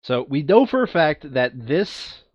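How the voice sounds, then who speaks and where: A man's voice: on the high side, big pitch swings, measured pace, dry recording, dull audio. A man chatting casually while playing a board game, thinking aloud as he works through moves. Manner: thinking aloud, muttering counts. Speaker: tabletop board game player. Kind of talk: casual chat during board game play.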